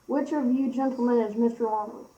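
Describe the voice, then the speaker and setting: Teenage girl as high-voiced polite newspaper reporter. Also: fairly even intonation, a little fast, dark tone, close to an everyday voice. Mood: polite, inquiring. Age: young teenager. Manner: courteous, flustered. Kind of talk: amateur school radio play. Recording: dull amateur recording.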